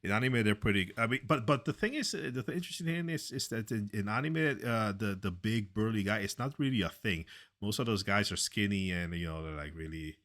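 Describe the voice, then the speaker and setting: Man, deep voice, very low pitch, loud, opinionated, halting with fillers, casual podcast chat. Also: animated. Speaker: podcast co-host. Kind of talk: casual conversation.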